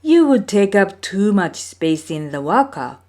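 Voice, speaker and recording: A woman's voice: medium pitch, big pitch swings, unhurried, mellow, voice pushed up. A woman voicing the scornful, exasperated older brothers in a storybook folktale, scoffing and dismissive.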